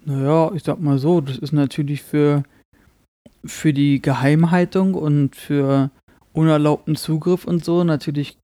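Male podcast co-host, slightly higher voice, soft mellow tone, dry sound, jokey rambling chat. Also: fairly even intonation, unhurried, no room sound. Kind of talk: casual banter podcast chat.

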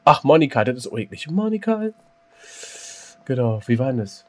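A man's voice: mid-range voice, very animated, normal speed, background noise. A man co-hosting a casual podcast, joking around and breaking into sung snippets. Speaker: podcast co-host. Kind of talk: casual chat.

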